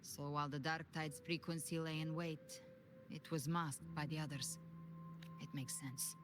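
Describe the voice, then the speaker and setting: A grave, knowing advisor in a game cutscene who explains things in a deliberate, serious way. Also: medium pitch, flat delivery, noisy background, close to an everyday voice. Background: game music.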